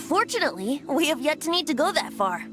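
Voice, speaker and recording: A woman's voice: sits high, very animated, brisk, music in the background. A woman voicing a playful, breezy young male bard god in a video game, in a coy, theatrical manner. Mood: confident, playful. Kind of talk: scripted video game voice acting.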